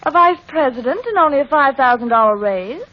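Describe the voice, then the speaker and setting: High-pitched selfish wife, sharp, dramatic, argumentative, old radio drama, dull band-limited recording. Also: female voice, lively intonation, fairly quick. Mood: demanding. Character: selfish, money-hungry wife, fearful and defiant.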